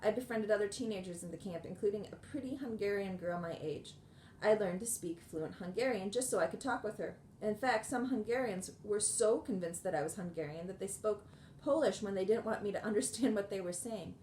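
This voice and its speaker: A woman reading a memoir aloud in a measured, earnest way, with occasional restarts. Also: medium pitch, a little mellow, reverberant, a little hiss or hum. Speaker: audiobook reader.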